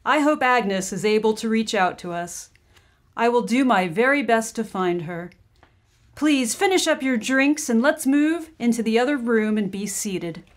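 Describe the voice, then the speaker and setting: A seance medium lady, kinda high voice, formal and solemn, theatrical radio play style. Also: expressive, a little slow. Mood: solemn, soothing. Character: gracious, solemn female seance medium hosting guests. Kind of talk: old-time radio drama acting.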